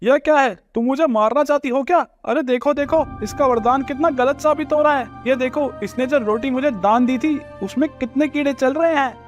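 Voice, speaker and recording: A man playing a scheming, spiteful rich villager in an animated moral story, with an accusing, theatrical delivery. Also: extremely high pitch, normal speed, few pauses, highs cut off, soft background music.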